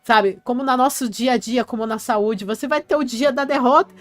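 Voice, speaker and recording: A woman's voice: on the high side, moderate pace, no room sound. A woman hosting a casual live stream, reading viewers' comments aloud and answering them in a chatty way.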